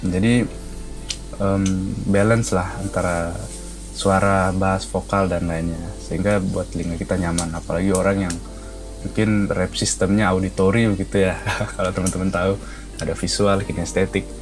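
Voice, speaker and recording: A man's voice: low-pitched, flat delivery, normal speed, music in the background. A man with an Indonesian accent giving a casual, chatty gadget review to viewers, explaining things informally. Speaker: product reviewer.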